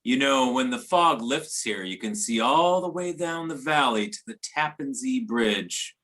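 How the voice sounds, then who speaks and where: A man playing an ominous older man in a readers theater drama, speaking in an unhurried, descriptive way. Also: medium pitch, lively intonation, fairly bright tone. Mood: musing.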